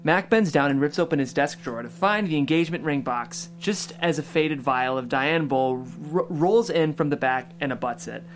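A man's voice: on the high side, slight background noise. A man who hosts a table read and reads out the stage directions. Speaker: stage directions reader and host. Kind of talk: scripted narration.

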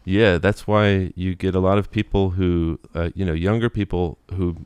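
A man guest in an interview, explaining at length in a relaxed way with many "you know"s and restarts. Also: deep voice, clear, resonant tone, dry recording. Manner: quick, thoughtful, full of "you know" and restarts.

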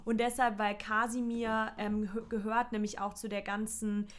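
A young woman co-hosting a podcast, chatting casually about music, talking in quick bursts and laughing often. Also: fairly high pitch, little pitch movement. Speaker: podcast co-host.

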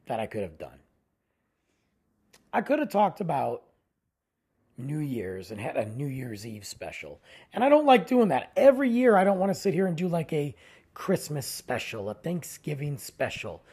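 A man hosting his own podcast in a casual, rambling way, tired and losing his voice, often trailing low at the ends of phrases. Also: vocal fry, a little mellow, slightly rough. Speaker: podcast host. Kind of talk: casual solo podcast intro.

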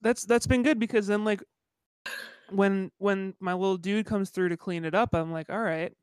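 A man's voice: high-pitched, fairly even intonation, normal speed, clear, resonant tone, dry recording. A man co-hosting a podcast in casual chat, congested and stuffy-nosed, rambling with lots of "like". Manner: rambling, self-deprecating, frequent "like". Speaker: podcast co-host.

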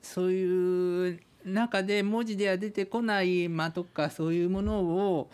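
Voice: medium pitch, fairly even intonation, unhurried, darkish tone, clean tone. A polite, somewhat hesitant guest giving casual answers in an interview. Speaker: listener guest who acted in radio drama.

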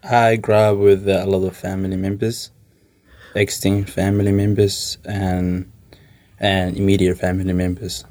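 A man's voice: low-pitched, little pitch movement, moderate pace, darkish tone. A man, answering interview questions informally and hesitantly, often rephrasing as he goes. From Somalia. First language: Somali. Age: 20s. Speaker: interview guest.

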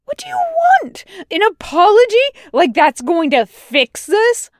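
A woman's voice: very high-pitched, big pitch swings, measured pace, dry recording, studio quality, pitched above the performer's own voice, heavily acted. A woman voicing a bitter, guilt-ridden male soldier with clipped, volatile delivery in a dramatic story reading. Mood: anguished, shouting. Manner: snapping outbursts, clipped curt replies. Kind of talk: dramatic fan fiction reading.

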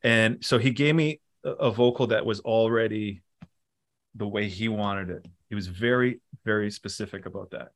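A middle-aged man talking casually in an interview, relaxed and rambling, with many fillers and restarts. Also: on the low side, normal speed. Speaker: musician and producer guest.

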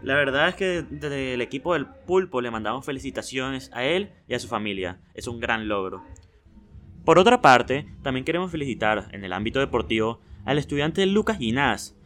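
A man hosting a student school podcast, reading out school news and announcements at an even, mid-range pitch. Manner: reads news announcements, then a loud energetic intro.

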